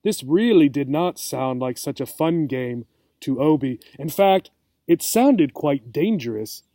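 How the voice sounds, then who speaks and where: A man reading a children's storybook aloud as a teacher, expressive and checking in with listeners. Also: a little high, a little slow, dry recording, clean studio sound. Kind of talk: storybook read-aloud for English learners.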